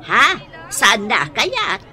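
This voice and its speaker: A woman's voice: a little high, huge pitch swings, slow, heavily acted. A woman playing a nosy, teasing older neighbour in an Ilocano radio drama comedy, drawling her questions. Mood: curious.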